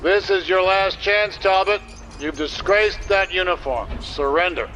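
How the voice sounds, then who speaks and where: Stern general, raised voice. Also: male voice, sits high, noisy background. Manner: authoritative, deliberate. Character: stern, commanding male army general. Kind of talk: video game voice acting.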